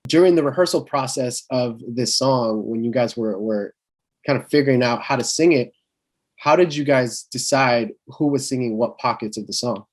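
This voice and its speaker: A man's voice: medium pitch, normal speed. A man interviews a guest in an easygoing way. His questions ramble a little, with an ordinary mid-range voice. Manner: relaxed, rambling questions with "um" and "you know".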